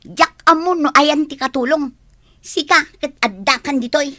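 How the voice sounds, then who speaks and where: Woman as a high, bossy, spoiled daughter. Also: normal speed, pushed and bright, no echo, studio quality. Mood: bossy, scolding. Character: spoiled, bossy young daughter, haughty and demanding. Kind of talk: radio drama acting.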